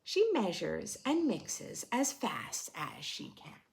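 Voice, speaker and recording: Animated, unhurried woman reading to young children. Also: fairly low pitch. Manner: animated, dramatic, questioning, with simple explanations for preschoolers. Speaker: children's read-aloud host and teacher. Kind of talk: expressive picture-book read-aloud with teaching asides for young children.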